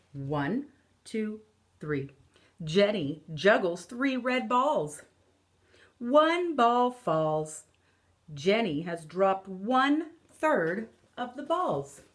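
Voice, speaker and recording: A woman in her thirties, an elementary teacher, reading a picture book aloud as a lesson for children, explaining deliberately and repeating key phrases. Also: medium pitch, fairly bright tone. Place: living or dining room at home, indoors.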